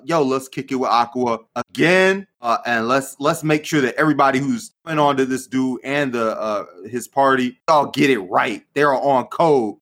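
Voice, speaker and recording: A man's voice: mid-range voice, fairly bright tone. A man hosting a podcast, loose and joking with slangy asides and frequent laughs. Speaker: podcast host. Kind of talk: casual chat.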